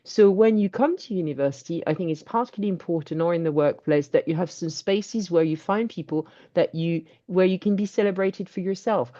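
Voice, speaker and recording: Low-voiced earnest woman on a call. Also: dark tone. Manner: earnest, encouraging, with fillers. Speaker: webinar speaker for a student charity. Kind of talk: reflective talk. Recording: dull lo-fi video call.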